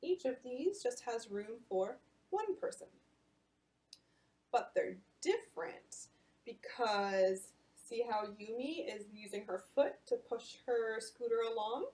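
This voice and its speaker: A woman librarian reading a picture book aloud at bedtime storytime and explaining it to children in an animated way. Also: a little high, takes it slowly, poor audio.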